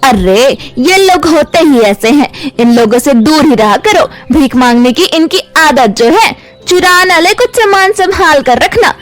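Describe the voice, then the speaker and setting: A haughty, scornful cartoon lady, put on by a woman, scolds and sneers in a high voice. Faint music sits behind her. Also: lively intonation, unhurried. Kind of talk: Hindi cartoon fairy tale acting.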